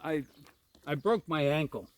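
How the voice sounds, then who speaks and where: Older man, halting, repeating words. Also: on the high side, no room sound. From New Jersey, USA. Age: 70s. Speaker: interviewee, retired pilot. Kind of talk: casual storytelling.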